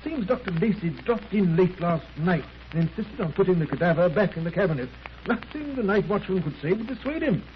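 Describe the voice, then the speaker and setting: An actor playing a polite, flustered gentleman scientist in a 1930s radio drama, hesitant, apologetic and eager to please. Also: sits high, lively intonation, fairly quick. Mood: helpful. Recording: muffled, noisy 1930s radio recording.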